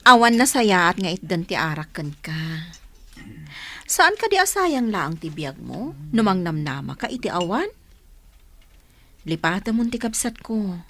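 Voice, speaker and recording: A woman's voice: lowish voice, very animated, a little slow, pauses often, pushed and bright, exaggerated voice. A woman acting a frank, caring Filipino elder sister in a radio drama. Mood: frank, concerned.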